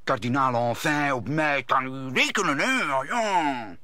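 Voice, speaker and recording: A man playing a flustered, servile, excitable husband in a radio play, agitated and stammering. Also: medium pitch, unhurried, no room sound.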